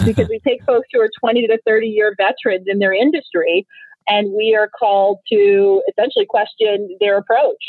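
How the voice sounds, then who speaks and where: A woman's voice: medium pitch, no room sound. A woman in her thirties from Nigeria, whose first language is Igbo, answering interview questions in an earnest, reflective way with frequent restarts. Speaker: guest, company founder. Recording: tinny phone-line sound.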